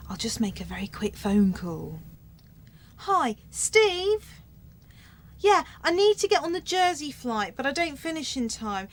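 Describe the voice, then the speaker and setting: Sly blunt check-in woman, bit high, up and down, radio comedy. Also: a bit bright. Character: brazen, scheming female airport check-in clerk.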